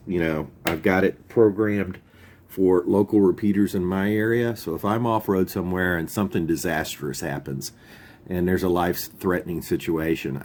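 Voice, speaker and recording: A man's voice: sits low, a little mellow, slight background noise. A man hosting a video, explaining gear in an informal, folksy, plain-spoken way. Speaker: YouTube channel host. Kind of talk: casual product overview, explaining radio gear.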